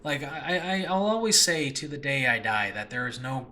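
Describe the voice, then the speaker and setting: Bright-voiced man rambling earnestly on a podcast. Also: mid-range voice, moderate pace. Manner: rambling, self-deprecating, earnest. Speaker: host and audio drama creator. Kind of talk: casual, unscripted podcast monologue. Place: makeshift room in a new home. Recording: noisy makeshift home recording.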